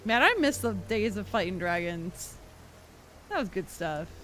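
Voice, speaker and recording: A woman's voice: medium pitch, very animated, unhurried, noisy background. A playful, giggly woman giving casual commentary as she streams a video game playthrough. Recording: game music behind the voice.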